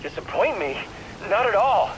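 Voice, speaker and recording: A man's voice: high-pitched, big pitch swings, normal speed, background noise. A man playing a smug young anarchist bomber in a video game, taunting with theatrical politeness. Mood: smug, intrigued.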